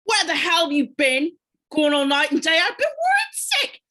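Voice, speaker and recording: A frantic mother, voiced by a woman pitching up scolds loudly in a high voice. Her tone is bright and sharp, and it swings widely. The recording is dull and lo-fi. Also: wildly swooping pitch, normal speed, heavily acted. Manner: loud, scolding. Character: frantic working-class mother, worried, shrill. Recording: dull lo-fi home mic.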